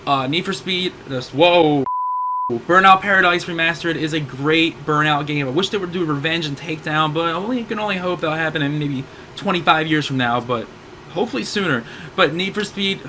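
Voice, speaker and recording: A hoarse man in his thirties hosting a gaming channel, rambling through a casual game sale rundown and often correcting himself. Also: fairly high pitch, noisy background. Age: 30s. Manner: rambling, self-correcting, upbeat.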